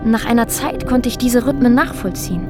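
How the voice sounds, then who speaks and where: An actress playing a woman who recounts a strange, disturbing ordeal in a reflective, halting, matter-of-fact radio drama monologue. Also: on the high side, natural voice. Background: music under the voice.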